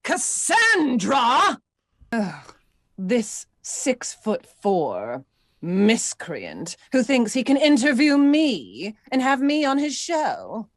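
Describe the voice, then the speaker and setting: A woman's voice: on the high side, huge pitch swings, very slow, no room sound, clean studio sound, full character voice. Woman as imperious villainess, wildly swooping delivery. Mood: haughty outrage. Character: imperious, disdainful aristocratic villainess. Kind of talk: video game character performance.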